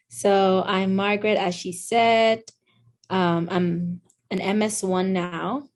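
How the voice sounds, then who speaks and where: A woman in her twenties with a Ghanaian accent, talking as a guest in a relaxed, reflective way with frequent ums. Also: fairly low pitch, no echo. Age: 20s. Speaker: guest, incoming medical student. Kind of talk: casual interview chat.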